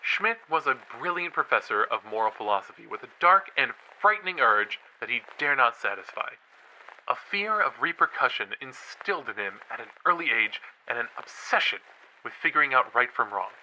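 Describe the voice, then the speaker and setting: A man's voice: mid-range voice, expressive, moderate pace, pushed and bright, muffled recording, a little hiss or hum. A man playing an eager college student and true crime podcaster, telling stories in a dramatic, playful way in an audio drama. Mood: dramatic, teasing. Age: young adult. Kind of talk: audio drama acting, storytelling.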